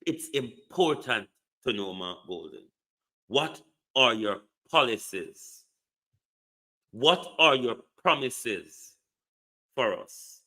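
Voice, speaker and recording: Slow, bright-voiced man. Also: mid-range voice, lively intonation. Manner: emphatic, repeats the addressee's name, rhetorical questions. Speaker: online commentator. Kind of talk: political commentary monologue.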